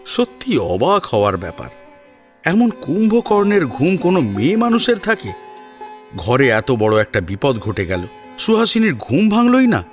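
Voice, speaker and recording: A man narrates a scripted drama. His delivery is theatrical and very animated in pitch, laid over faint background music. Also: moderate pace. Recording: music under the voice.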